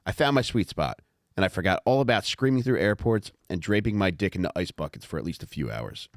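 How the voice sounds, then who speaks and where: A man narrating a comic memoir audiobook in a wry, measured, profane way. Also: low-pitched, lively intonation, dry recording, studio quality.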